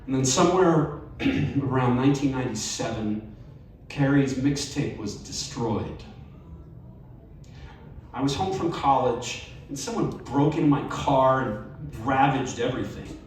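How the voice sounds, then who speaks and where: A man reading a personal essay aloud at a live event, measured and expressive with wry emphasis. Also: medium pitch, slight background noise. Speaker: story reader. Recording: very echoey live room, distant mic.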